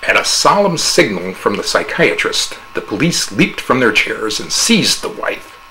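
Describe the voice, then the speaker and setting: A male narrator delivers a humorous fable with theatrical flair and measured phrasing. His voice is bright and very lively in its intonation, recorded with a faint hum behind it. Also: medium pitch, rough recording. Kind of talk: dramatic oral interpretation of a humorous fable.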